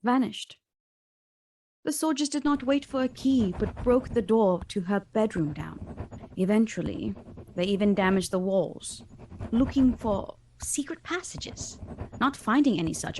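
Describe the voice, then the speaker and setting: Radio drama narrator: solemn young lady, formal and measured delivery, slight ambience behind. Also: mid-range voice, mellow, slight background noise, rough recording. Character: solemn young gentlewoman recounting her household's ordeal.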